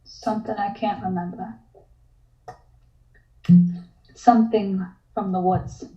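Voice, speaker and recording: A woman's voice: mid-range voice, fairly even intonation, normal speed, warm tone, close to an everyday voice. A woman playing an uneasy, tentative woman in a comic table read. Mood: haunted. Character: uneasy woman, softly apprehensive. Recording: roomy, dull home microphone.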